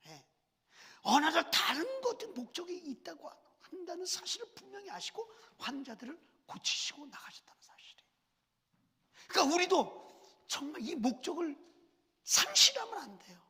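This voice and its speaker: A man's voice: extremely high pitch, vocal fry, sharp tone. A man preaching a sermon as senior pastor, speaking through a cold and a cough, asking the congregation questions as he goes. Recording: long church reverb.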